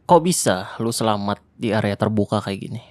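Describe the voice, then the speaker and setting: A young man performing an ASMR roleplay monologue in casual slangy speech, tense and hushed at times, close to the mic. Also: fairly low pitch, animated, brisk, no echo. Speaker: voice actor.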